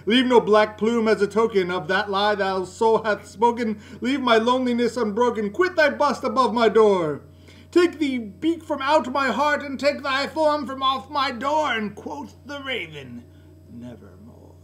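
A man's voice: very high-pitched, a little slow. A middle-aged man giving a dramatic, theatrical recitation of a gothic poem in an indoor room. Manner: theatrical, swinging from hushed murmurs to loud cries. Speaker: poetry reader, library director. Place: indoor room by a curtained window. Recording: noisy room recording with steady hum.

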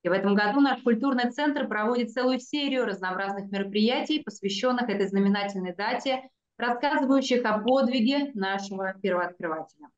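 A woman's voice: fairly high pitch, brisk. A middle-aged woman giving a formal welcome speech over a video call, reading each passage in two languages. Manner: reads each passage twice, first one language then the other. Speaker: cultural center representative. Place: indoor room, video call. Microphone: video call webcam. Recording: echoey, dull video call audio.

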